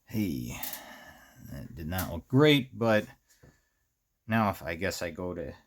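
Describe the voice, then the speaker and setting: A man talking through hands-on work in an unscripted tutorial, musing out loud in fragments as the host. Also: sits low, big pitch swings, slow. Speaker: host of a DIY circuit bending video series. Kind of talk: unscripted hands-on tutorial commentary while working.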